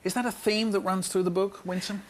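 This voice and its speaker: A man's voice: high voice, level tone, fairly quick. A man hosting a discussion programme about books, presenting and putting questions to guests. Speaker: programme host.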